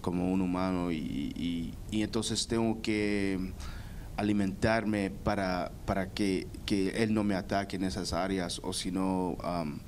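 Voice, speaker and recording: A young Guatemalan man with a Guatemalan Spanish accent, giving a halting personal faith testimony full of restarts. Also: low voice, fairly even intonation, darkish tone, a little hiss or hum. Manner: hesitant, many restarts and repeated words.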